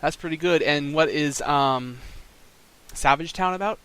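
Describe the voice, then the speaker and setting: A man's voice: fairly high pitch, unhurried, sharp tone, clean tone, dry recording. Eager man hosting a fan podcast. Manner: eager fan asking questions. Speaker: podcast host. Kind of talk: casual podcast interview.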